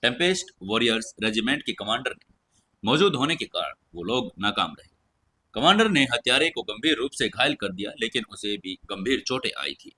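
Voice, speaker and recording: A man's voice: mid-range voice, sing-song, moderate pace, sharp tone, husky, heavily acted. A man voicing a grave, dutiful male bodyguard in an audio story, speaking with serious, restrained deference. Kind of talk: audio story character dialogue.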